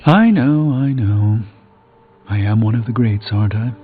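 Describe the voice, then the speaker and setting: A man's voice: lowish voice, a little slow, slightly rough. A man playing a proud, wry sorcerer and mentor in a video game, speaking in a formal, articulate, dryly pompous way. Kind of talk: scripted video game dialogue. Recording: phone-like narrow band, music behind.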